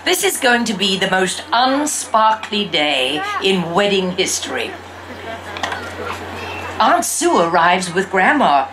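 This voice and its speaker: Woman voicing an animated little fairy princess girl. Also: mid-range voice, crowd noise, low-quality recording. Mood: bright, self-assured. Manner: expressive, theatrical, drawn-out emphasis. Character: exuberant young girl who fancies herself a fairy princess. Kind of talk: first-person storybook read-aloud.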